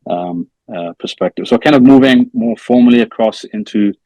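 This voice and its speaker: Soft-spoken man. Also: no echo, dull audio. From Newcastle, northeast England. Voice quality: quiet, low-volume voice. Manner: measured, many fillers like "um" and "kind of". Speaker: interview guest, product manager. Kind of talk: conversational interview answers.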